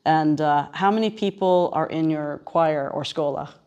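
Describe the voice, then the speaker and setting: Female radio host, low-pitched voice, conversational interviewer on location. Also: unhurried, darkish tone, smooth, lo-fi sound. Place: on location at a seminary.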